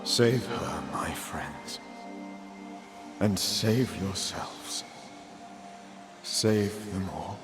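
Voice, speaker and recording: A sorrowful male ghost in a video game, a protective and loving husband. His slow, halting voice trails long reverb over prominent music. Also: low voice, expressive, full character voice. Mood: pleading. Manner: mournful, halting. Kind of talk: dramatic video game acting.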